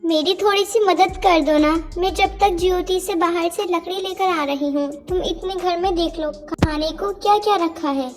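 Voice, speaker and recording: A woman's voice: extremely high pitch, moderate pace, hardly any pauses, soft background music. A woman voicing a sweet, sensible young daughter-in-law in a cartoon moral story, speaking earnestly. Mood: worried.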